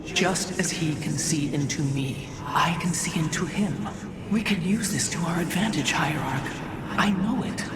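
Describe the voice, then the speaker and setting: A woman playing a proud, ancient female alien seer in a video game, speaking formally and gravely. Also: lowish voice, noisy background, lightly acted. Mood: urgent, pleading. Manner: formal, grave, measured delivery. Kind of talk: video game cutscene acting. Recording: game dialogue under loud music.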